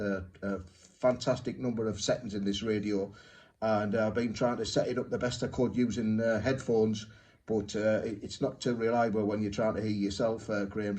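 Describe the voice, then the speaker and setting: A man chatting as an amateur radio operator, speaking in short, halting pieces. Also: lowish voice, a little mellow. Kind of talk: unscripted ham radio contact chat.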